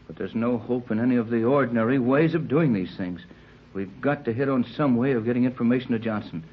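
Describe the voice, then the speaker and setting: An actor playing a steady, troubled, plain-spoken captain in an old-time radio adventure serial. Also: male voice, mid-range voice, a little fast, a little hiss or hum, natural voice. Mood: grave. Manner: earnest, grave, plain-spoken. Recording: muffled, narrow-band old radio recording.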